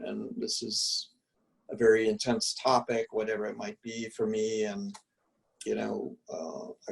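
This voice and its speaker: A male art history professor musing aloud in relaxed conversation, full of restarts and fillers, speaking from a room at home on a video call. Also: fairly low pitch, vocal fry, far from the mic, low-quality recording. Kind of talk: reflective conversational answers.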